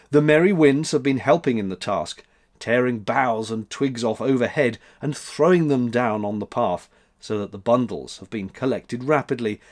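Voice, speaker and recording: A man reading a classic story aloud as an expressive storyteller. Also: medium pitch. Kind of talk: expressive scripted story narration.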